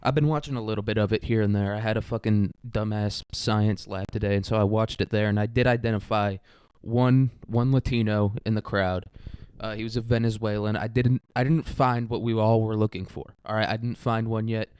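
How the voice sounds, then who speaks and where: A man's voice: on the low side, steady tone, a little fast, a little mellow, clear, resonant tone, no echo, clean studio sound. A young American man hosting a solo podcast, rambling casually and comedically in a crude, irreverent manner. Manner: crude, irreverent, often chuckling as he talks.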